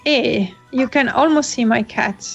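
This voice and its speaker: A slow, unhurried woman with a fairly high voice hosts a casual gaming webcast, laughing now and then. Her voice comes through a dull, lo-fi call line with faint music behind her. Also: gravelly. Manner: slow, laughs often, non-native English phrasing. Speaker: podcast host.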